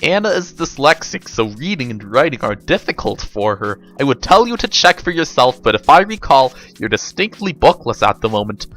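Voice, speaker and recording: A man's voice: medium pitch, very animated, normal speed, few pauses, a bit bright, faint music behind. A man voicing a smug, foul-mouthed girl with a taunting, sarcastic manner in a story reading. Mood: triumphant. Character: smug, triumphant, foul-mouthed girl who outwits others.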